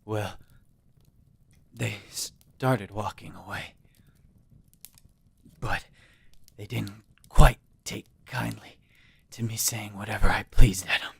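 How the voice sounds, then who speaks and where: Wounded, defiant laborer, slow and heavily rasping, bitter audio drama role. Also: male voice, on the low side, steady tone, fairly bright tone, exaggerated voice. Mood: weary resentment. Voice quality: raspy, gravelly. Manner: sardonic, labored speech broken by coughs. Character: bitter, dying wounded dockworker, foul-mouthed and defiant.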